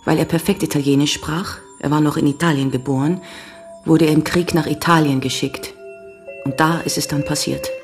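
An actress playing a grave young American woman giving measured, sombre testimony in a German radio crime drama. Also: deep voice, steady tone, faint music behind. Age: young adult. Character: grave young American woman recounting her father's betrayal. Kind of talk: German radio play acting.

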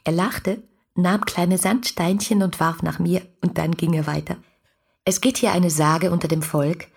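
An actress playing a passionate, romantic young woman in a literary radio play, speaking intimately and poetically to her beloved in a husky, breathy voice. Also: on the low side, steady tone, measured pace, full character voice. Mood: intimate, playful.

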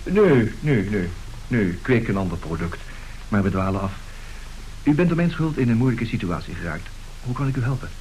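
An actor playing a formal, excitable, grandiloquent gentleman given to florid speech in a radio play. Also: lowish voice, normal speed, poor audio. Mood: flustered. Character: flustered, grandiloquent gentleman dealer in love letters. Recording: hissy old radio drama recording.

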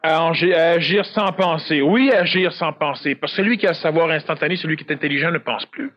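A man's voice: fairly high pitch, brisk, fairly bright tone. A man with a Québécois accent giving a public lecture, talking emphatically, repeating himself and firing rhetorical questions at the audience. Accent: Québécois French. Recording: dull lo-fi dry recording.

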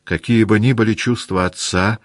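A male narrator acts out a gentle young princess pleading through a letter. The manner is earnest and apologetic. The sound is close and dry. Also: on the low side, steady tone, moderate pace, no room sound, voice pushed up, close to an everyday voice.

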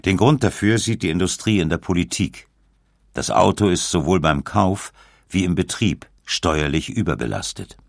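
Deep, gravelly male documentary narrator. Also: expressive, normal speed, dry recording, clean studio sound.

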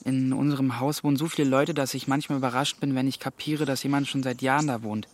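A male actor plays a teenage boy in a radio drama, medium-pitched and youthful. He is curious and a little stubborn, talking in a plain, thoughtful, dreamy way. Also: fairly even intonation, fast, lightly acted. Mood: guarded, matter-of-fact. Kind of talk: naturalistic German radio drama acting.

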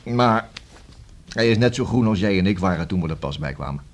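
An actor in a Dutch radio play playing a methodical, collegial senior police detective who explains things conversationally, with small hesitations. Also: male voice, sits low, expressive, fairly quick. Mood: chatty, musing.

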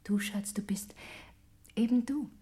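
An actress playing a caring, probing, practical wife in a German radio crime drama, coaxing and inquisitive. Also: female voice, a little high, measured pace, mellow, natural voice. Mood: coaxing, reproachful.

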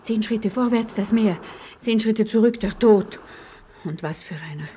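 A woman's voice: on the high side, unhurried, warm tone, lightly acted. A woman playing a passionate, noble French patriot in a German radio play, with fervent, solemn, theatrical delivery. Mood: grave, fervent. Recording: noisy old radio play recording.

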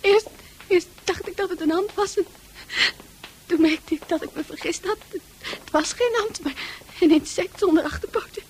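An actress playing an agitated, frightened female psychiatric patient in a radio drama, halting and repetitive. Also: extremely high pitch, a little hiss or hum. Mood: distressed.

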